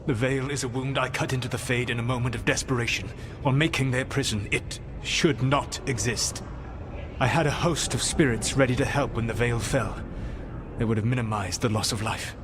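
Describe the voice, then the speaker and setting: An actor playing a proud, weary ancient mage in a fantasy video game, speaking in measured, formal phrases. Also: male voice, medium pitch, background noise, close to an everyday voice. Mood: defensive, solemn. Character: solemn, proud, weary ancient male mage, grave and self-justifying.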